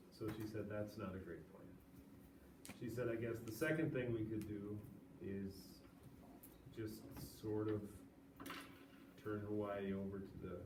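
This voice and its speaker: A male teacher giving a casual, chatty lecture to a classroom of students. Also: on the low side. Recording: echoey classroom from far off, noisy.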